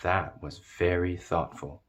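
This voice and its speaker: A man's voice: deep voice, fairly even intonation, takes it slowly. A man voicing a kind, encouraging woman schoolteacher in a children's story, reassuring and instructive.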